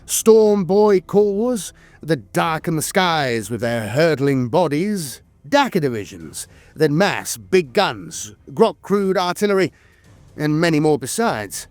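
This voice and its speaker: Man narrating with animated, swooping pitch. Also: slow pace, no room sound. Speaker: lore narrator. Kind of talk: scripted narration.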